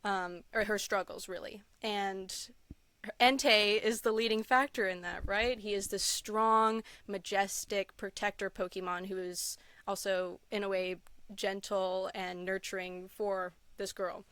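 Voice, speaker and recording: A woman's voice: medium pitch, steady tone, a little slow, a bit bright, smooth, no echo. A woman chatting casually as a podcast guest, telling personal stories in an enthusiastic, giggly manner. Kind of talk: casual interview chat, personal storytelling.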